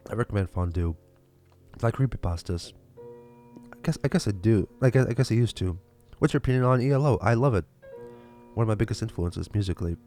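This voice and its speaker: A man's voice: fairly low pitch, expressive, fast talker, warm tone, soft background music. A young man from Lithuania with a Lithuanian accent, chatting casually on a livestream and answering viewer questions, with many fillers and restarts. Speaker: livestream host.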